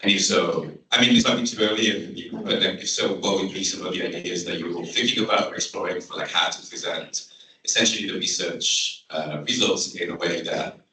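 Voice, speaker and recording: Deep-voiced man asking from afar. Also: brisk, bright, forceful tone. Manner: casual, rambling question. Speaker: audience questioner. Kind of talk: conference question. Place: seminar room. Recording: echoey room from far off.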